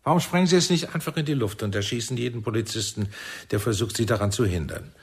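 A man acting a shrewd, persuasive intelligence team leader in a German radio play, speaking deliberately and insistently. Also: mid-range voice, husky. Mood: probing, provocative.

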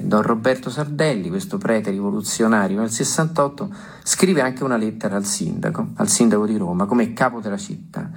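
A man with a rough-edged, husky voice at medium pitch tells a story aloud. He circles back on his words in an incantatory way, leaving deliberate pauses between short phrases. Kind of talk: spoken storytelling at a recital.

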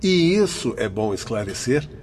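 An actor in a radio drama playing a firm, earnest spirit guardian who speaks formally and deliberately. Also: male voice, mid-range voice, very animated, darkish tone, a little hiss or hum, natural voice.

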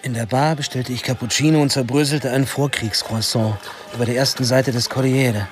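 An actor playing a wry, self-important first-person narrator in a radio drama, dry and ironic. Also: male voice, mid-range voice, steady tone, fairly quick, lightly acted. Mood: observing. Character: wry male narrator looking at his own youth, self-important.

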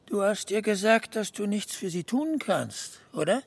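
An actor playing a laconic older small-town man in a radio drama, gravelly and husky, with a dry, understated manner. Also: sits high, lively intonation, measured pace, dry recording, full character voice. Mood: dry, calm. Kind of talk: naturalistic radio drama acting.

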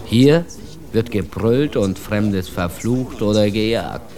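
A man's voice: on the low side, expressive, slow, crowd noise. An actor playing a proud immigrant man ranting with bitter contempt, in a declamatory slang tirade for a radio drama. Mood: bitter, scornful.

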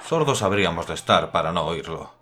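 A man's voice: deep voice, very animated, fairly quick, pushed and bright, exaggerated voice. An actor in a radio drama playing an idealistic young republican man, speaking earnestly and with passion. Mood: hopeful. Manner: earnest, impassioned.

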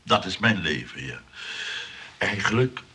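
An actor playing a lonely, pompous suitor in a comic radio play, stammering and repeating himself with dramatic pauses. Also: male voice, deep voice, big pitch swings, bright, forceful tone, husky, full character voice. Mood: self-pitying. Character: lonely, pompous, pleading, spiteful male suitor.

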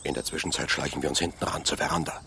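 A man's voice: extremely low pitch, fairly even intonation, racing, gravelly, sharp tone, a little hiss or hum, full character voice. An actor playing a man who gives clipped orders as leader of a night raid, in a radio drama. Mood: commanding. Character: curt male leader of a rescue raid.

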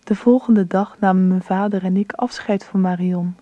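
Actress as grown daughter telling her story on radio, measured, mellow woman's voice, bit muffled recording. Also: mid-range voice, steady tone, no echo, close to an everyday voice. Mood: calm, reflective. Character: grown daughter recalling the past as gentle narrator. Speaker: narrator. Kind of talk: scripted radio drama narration. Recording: dull, lo-fi radio drama.

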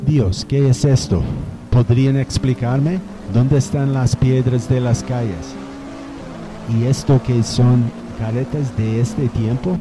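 A man playing a bewildered, nostalgic gentleman from the 1800s in a youth radio drama, speaking in a formal, old-fashioned way. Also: medium pitch, steady tone, over music, close to an everyday voice. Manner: slow, wistful, formal and old-fashioned speech. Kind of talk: amateur radio drama acting.

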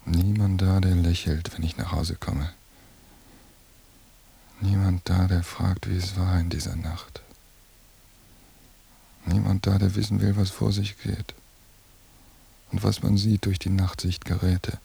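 An actor playing a tired, lonely night-surveillance agent in a radio drama, giving a detached, deadpan inner monologue in a husky, gravelly voice. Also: male voice, low-pitched, unhurried, pauses often, full character voice. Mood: weary, desolate. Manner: flat, deadpan, clipped phrases.

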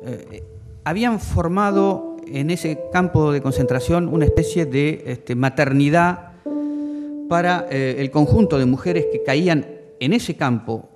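A man giving halting testimony, hesitant and often searching for his words. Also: on the high side. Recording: reverberant, loud music underneath.

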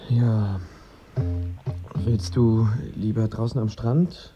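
Ein Schauspieler spielt in einem Hörspiel einen zögerlichen, verlegen ausweichenden Liebhaber. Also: male voice, fairly low pitch, fairly even intonation, extremely slow, mellow, highs cut off, faint music behind. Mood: awkward, hesitant. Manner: hesitant, awkward. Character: hesitant male lover, awkward and evasive. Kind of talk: radio drama acting.

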